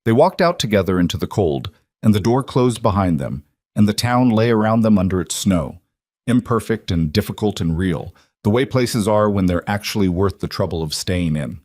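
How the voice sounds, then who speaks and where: A man reading a dramatic western story as scripted narration, measured and deliberate in his delivery. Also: lowish voice, animated, a little mellow, no echo, clean studio sound. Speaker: audiobook-style story narrator.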